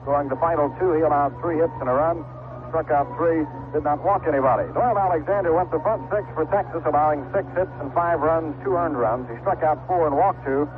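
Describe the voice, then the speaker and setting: An American man calling baseball play-by-play on a 1970s radio broadcast. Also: fairly high pitch. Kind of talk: 1970s live radio sports commentary. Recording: noisy, tinny vintage AM radio broadcast.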